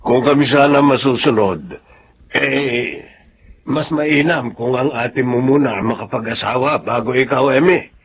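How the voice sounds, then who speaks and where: A man acts as a humble Filipino dad in his fifties in a radio drama. He is plain-spoken and hesitant, with a mid-pitched voice, always putting others first. Faint noise and ambience sit behind him. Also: a little slow, lightly acted. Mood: resigned. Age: 50s. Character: humble, reluctant fifty-year-old Filipino father, self-sacrificing. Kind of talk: Tagalog radio drama acting.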